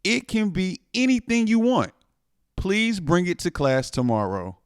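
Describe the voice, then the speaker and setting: Man plays a patient, kindly cookie schoolmistress in a picture book, gentle classroom delivery, lively intonation. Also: high-pitched, a little slow, clear, resonant tone, no room sound, clean studio sound, voice pushed up, full character voice. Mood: kind, encouraging.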